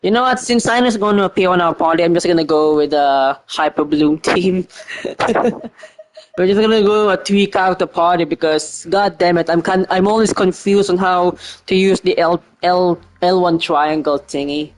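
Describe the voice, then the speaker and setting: A man's voice: high voice, normal speed, low-quality recording. A man giving casual, hesitant gameplay commentary as a streamer, rambling and swearing freely over game music. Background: faint game music.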